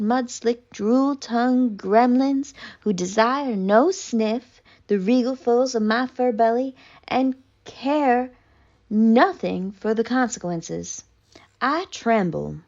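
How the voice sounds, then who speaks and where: A woman voicing a haughty, melodramatic, traumatized female cat in a pompous, mock-tragic theatrical monologue with deliberate pauses. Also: on the high side, vocal fry, a little mellow, highs cut off, voice pushed up, exaggerated voice. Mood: anxious, dreading.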